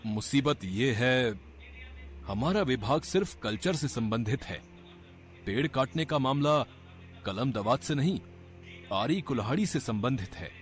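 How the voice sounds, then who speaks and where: A man's voice: medium pitch, expressive, unhurried, long pauses, faint music behind, natural voice. A man voicing a fussy, officious literary secretary in a cartoon story, speaking in a declamatory, effusive way. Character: pompous, fussy male government literary secretary.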